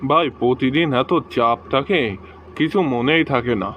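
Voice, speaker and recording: A man's voice: mid-range voice, normal speed, muffled recording, over loud music, natural voice. A man voicing a hapless, chatty husband and joking buddy in comic cartoon skits. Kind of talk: comic cartoon dubbing in short joke skits.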